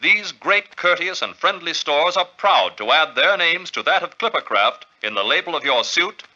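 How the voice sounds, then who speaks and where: An American male announcer gives a formal 1940s radio ad read. His voice is fairly high and very forceful, with each item enunciated. The recording is dull and aged. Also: big pitch swings, normal speed. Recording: muffled old radio recording.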